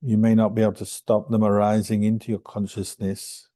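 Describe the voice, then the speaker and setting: A man guiding a meditation as a monk and teacher, speaking calmly and gently with unhurried pauses between phrases. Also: lowish voice, dry recording, studio quality. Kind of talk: guided meditation instruction.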